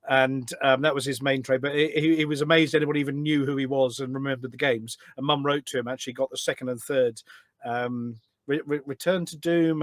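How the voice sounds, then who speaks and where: A man's voice: mid-range voice, no echo. Chatty middle-aged British man, dry close sound. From United Kingdom. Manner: chatty, rambling, self-correcting. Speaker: podcast guest. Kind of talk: casual chat, reminiscing.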